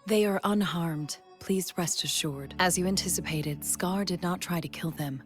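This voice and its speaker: A woman's voice: fairly low pitch, moderate pace, lightly acted. An actress voicing a composed, deferential female attendant in a video game, speaking formally and reassuringly. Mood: calm, dutiful. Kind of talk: video game story dialogue. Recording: music behind the voice.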